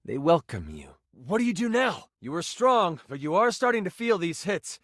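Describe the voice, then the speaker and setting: An actor playing an excitable, kindly tabletop game master in a video game, narrating theatrically with expressive, dramatic delivery. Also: male voice, high voice, normal speed, no room sound, studio quality. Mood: dramatic, immersive. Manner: expressive, dramatic, shifting into asides. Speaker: game master character in a dating sim game.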